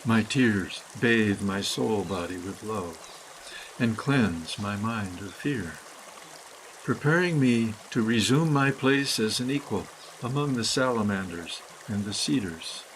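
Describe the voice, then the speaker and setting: A man reading a scripted, poetic guided meditation in measured, deliberate phrases with pauses. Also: on the low side, lively intonation, noisy background. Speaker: guided meditation reader, author.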